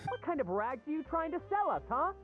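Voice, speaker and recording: A woman's voice: sits high, sing-song, brisk, dull audio, faint music behind. A woman playing a rude, accusing customer in a video game, blunt and combative. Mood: indignant.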